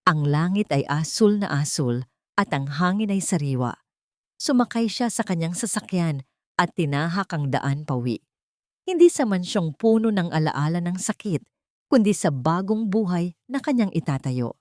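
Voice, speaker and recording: A woman with a Filipino accent narrating a love-story audio drama in a measured, descriptive way. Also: lowish voice, expressive, no echo, clean studio sound.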